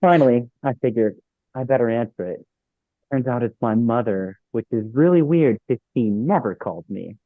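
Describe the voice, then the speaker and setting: A man playing a droll, deadpan young office worker in a sitcom script read. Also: mid-range voice, moderate pace, no echo, lightly acted. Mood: dry storytelling. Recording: thin, phone-like, dry sound.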